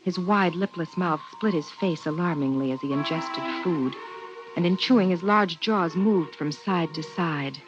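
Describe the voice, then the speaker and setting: An actress playing a polite, careful, slightly anxious wife and hostess in a 1950s radio drama. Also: female voice, fairly low pitch, unhurried, natural voice. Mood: narrating, uneasy. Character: polite, proper suburban wife hosting an alien guest. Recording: muffled 1950s radio recording, faint music.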